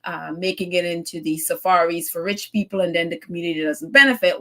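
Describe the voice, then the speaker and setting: A woman hosting a casual live stream, reading out viewer comments in a chatty, effusive way, laughing often and using plenty of fillers. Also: lowish voice. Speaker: host of a royal news live stream.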